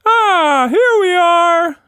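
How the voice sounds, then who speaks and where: Extremely high squeaky shapeshifting monster. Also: male voice, animated, very slow, bright, forceful tone, dry recording, clean studio sound, heavily acted. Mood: excited. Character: Quatsch Monster, friendly shapeshifting creature, excitable and odd.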